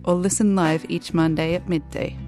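A woman's voice: on the low side, steady tone, moderate pace, dark tone, faint music behind. A woman announcer reading a scripted radio promo.

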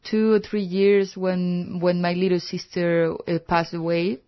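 A woman in her thirties from Chile with a Chilean Spanish accent, speaking as a radio guest in a soft, reflective way. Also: lowish voice, flat delivery, unhurried. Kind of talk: casual radio chat. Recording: dull lo-fi sound.

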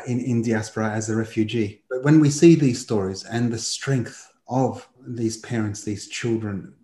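A man's voice: mid-range voice, mellow. Man, Australian accent, host, impassioned, echoey room. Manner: impassioned, lists grievances at length. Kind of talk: political podcast talk.

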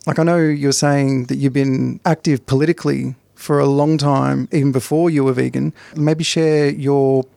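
A man with a mid-pitched, noticeably creaky voice conducts a casual interview. He puts his questions conversationally, in a very dry recording with almost no room sound. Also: steady tone, normal speed, few pauses, mellow, no echo.

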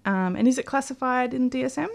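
A woman's voice: high-pitched, fast talker, gravelly. A woman hosting a community radio interview, friendly and encouraging, with frequent affirmations. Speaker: radio host.

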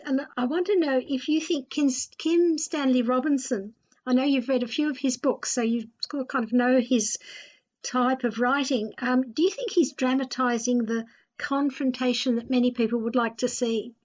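A woman with a distinctly high voice hosts a conversational radio discussion. She talks informally, as one steering a panel. The recording is a little dull and lo-fi. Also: fairly even intonation, moderate pace, a little mellow. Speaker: host leading a panel discussion. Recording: dull, lo-fi sound.

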